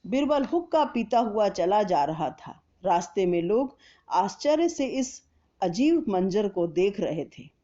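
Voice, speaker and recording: A woman narrating a scripted children's story in a deliberate, expressive storytelling manner. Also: medium pitch, dull audio.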